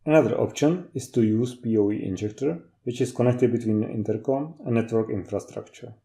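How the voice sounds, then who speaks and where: Man with a very dark, mellow voice. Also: medium pitch, a little fast, vocal fry. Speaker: technical trainer. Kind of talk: scripted instructional narration.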